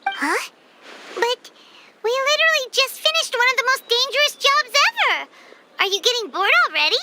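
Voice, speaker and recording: A woman voicing a small, cheeky, childlike fairy companion in a video game, squeaky and exclamatory. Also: lively intonation, normal speed, noisy background. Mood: indignant, surprised. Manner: speaks of herself in the third person, exclamatory. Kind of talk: video game character acting. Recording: music behind the voice.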